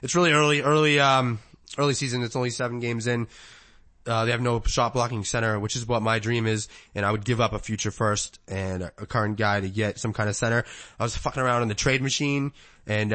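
A man's voice: medium pitch, steady tone, a little fast, a bit bright. A man, a podcast co-host in loose, rambling sports chat who laughs often.

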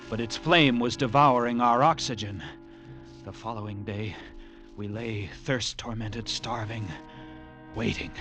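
An actor playing a haunted lighthouse keeper, narrating an old radio drama with intense, halting delivery and suspenseful pauses. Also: male voice, mid-range voice, a bit bright, lightly acted. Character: haunted male lighthouse keeper recounting a grim ordeal.

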